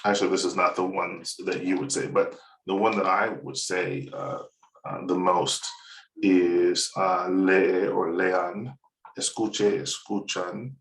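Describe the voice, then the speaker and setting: A man giving a relaxed, rambling walkthrough of his Spanish course, with many fillers and chuckles at his own jokes. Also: low-pitched, takes it slowly. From United States. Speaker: Spanish instructor. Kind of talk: screen-recorded course walkthrough. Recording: roomy, slightly distant mic.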